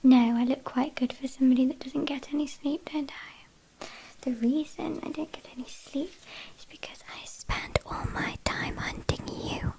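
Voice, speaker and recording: A woman's voice: high-pitched, steady tone, darkish tone, poor audio. A woman with a British accent playing a teasing, haughty vampire kidnapper in an ASMR roleplay, whispering breathily. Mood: teasing, smug. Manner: teasing, mock-apologetic, unhurried.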